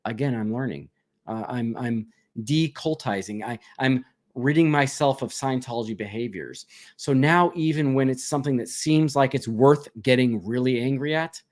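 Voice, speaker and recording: A man's voice: medium pitch, normal speed. Chatty man reading out viewer questions. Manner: reads chat questions aloud and answers chattily, laughing often. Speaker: livestream host answering viewer questions. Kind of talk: casual live Q&A chat.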